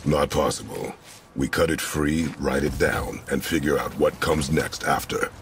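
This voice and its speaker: An actor playing a stern, battle-hardened middle-aged warrior father in a video game, speaking in terse, gravelly commands. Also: very deep voice, a little slow. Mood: stern, curt. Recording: echoing reverb, noisy game ambience.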